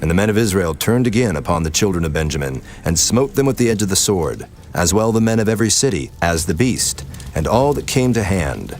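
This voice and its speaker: Deep-voiced solemn male scripture narrator. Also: big pitch swings, slight background noise. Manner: measured, formal. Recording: prominent music behind the voice. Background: music.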